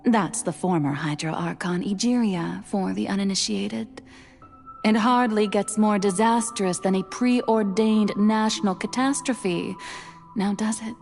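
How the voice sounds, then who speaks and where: An elegant goddess from a video game, speaks at a middle pitch over soft music. Her diction is refined and grandly theatrical. She comes across as self-assured and playful, with a wistful streak. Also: unhurried, creaky. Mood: wry, haughty. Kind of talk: video game character monologue. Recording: background music under studio voice.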